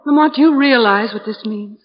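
A woman's voice: a little high, a little fast, lightly acted. Anxious woman in old radio mystery, acted. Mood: urgent. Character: anxious female companion to an amateur sleuth. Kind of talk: 1940s radio acting. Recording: dull, noisy 1940s radio recording.

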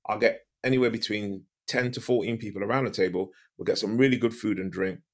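A man's voice: fairly low pitch, fast talker. A middle-aged man from the UK, a podcast guest and coach, giving emphatic advice with a big voice, repeating his key phrases and asking rhetorical questions.

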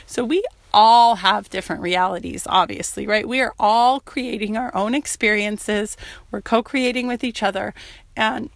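A woman hosting a solo podcast, talking in an earnest, reflective way while sick and losing her voice, often pausing with "um" and "you know.". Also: mid-range voice, creaky, no echo. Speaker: podcast host. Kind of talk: casual solo podcast talk.

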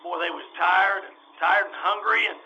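A man's voice: high voice, steady tone, moderate pace, pushed and bright, muffled recording, slight background noise. A man playing a nervous, folksy, evasive but chatty small-time carnival man in old-time radio drama style, stammering and repeating words. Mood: excited.

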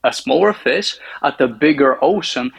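A man's voice: mid-range voice, animated, gravelly, fairly bright tone, slightly rough. A man from Bulgaria speaking English with a Bulgarian accent, a podcast guest giving articulate, confident answers about his marketing work. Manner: articulate, confident, measured explanations. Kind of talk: interview answers.

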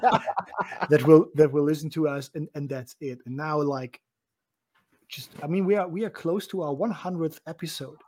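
A German man co-hosting a live video podcast, talking in a relaxed, rambling way with many restarts and laughs. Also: fairly high pitch, moderate pace. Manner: repeats words and restarts, laughs often. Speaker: podcast co-host. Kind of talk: casual chat.

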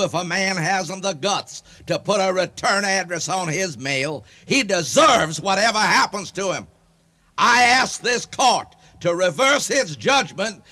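High-pitched, pompous crusading man. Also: bright, forceful tone, heavily acted. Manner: pompous, declamatory. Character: pompous, paranoid moral-crusader man, excitable and self-righteous. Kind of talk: comic radio drama acting.

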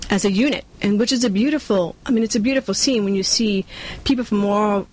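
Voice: medium pitch, fast talker, rough recording. A person with a New York accent and Spanish as a first language, earnestly answering interview questions as a community organizer and acting out quotes along the way. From born in Cuba, grew up in Brooklyn, New York. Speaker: community nonprofit leader being interviewed.

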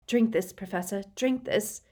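A woman narrator plays a teenage boy wizard in a storybook, his voice lifted high and pushed with urgency. He sounds frightened and desperate, pleading in gasps. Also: measured pace, warm tone, breathy edge. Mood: urgent coaxing. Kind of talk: audiobook character voice.

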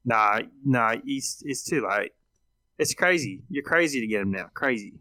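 A man's voice: medium pitch, expressive, moderate pace, sharp tone, no echo. A man talking bluntly and colloquially on a casual sports podcast. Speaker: podcast panellist.

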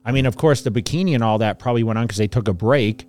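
A man hosts a casual podcast in a middle-pitched voice. He explains at length and leans hard on his points. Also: rapid-fire, a little mellow. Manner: emphatic, rambling explanations. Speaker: podcast host.

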